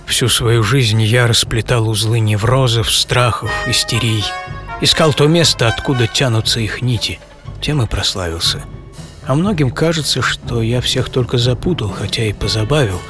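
An actor playing an aged, wry psychoanalyst who recalls his life in a theatrical radio-play monologue with pauses. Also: medium pitch, lightly acted. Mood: reflective, wry. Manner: theatrical, short phrases with pauses. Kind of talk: dramatic first-person monologue, radio play. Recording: music behind the voice.

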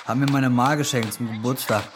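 Clear background noise surrounds a male actor playing a cocky teenage boy in a radio drama. He is terse, slangy and boastful, with a mid-pitched male voice. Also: steady tone, a little fast, natural voice. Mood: cocky, cool. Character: cocky, laconic teenage boy, car and gun fan. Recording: noisy ambient background.

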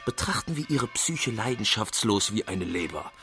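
An actor playing an analytical, reproachful country doctor in a German radio drama, with a formal, pointed, lecturing manner. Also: male voice, fairly low pitch, big pitch swings, normal speed, over music. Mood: coolly analytical. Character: analytical, reproachful male country doctor confronting his friend.

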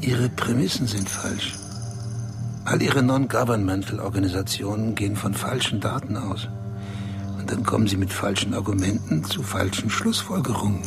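A man's voice: lowish voice, moderate pace, over music, close to an everyday voice. A man in a radio drama playing a self-satisfied bank chief who speechifies. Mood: smug. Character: smug male bank chief, proud self-made man.

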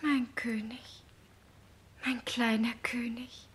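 An actress playing a devoted, pleading queen in a 1960s German radio play, half-whispering with a breathy voice. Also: a little high, little pitch movement, takes it slowly, heavily acted. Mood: tender, sorrowful. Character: loving, pleading young queen, tender and devoted.

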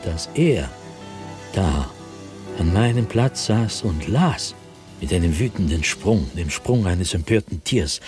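An actor playing a haunted, fearful diarist sliding into madness in a dramatic, intense radio drama monologue, at times breathy and whispering. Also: male voice, lowish voice, a little mellow. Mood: tense, suspenseful, then furious, alarmed.

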